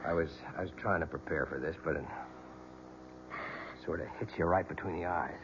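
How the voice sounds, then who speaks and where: An actor playing a devoted young husband in a radio drama, tender and comforting. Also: male voice, deep voice, a little fast, lightly acted. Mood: shaken. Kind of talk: 1970s radio drama acting. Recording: lo-fi old radio broadcast with loud noise.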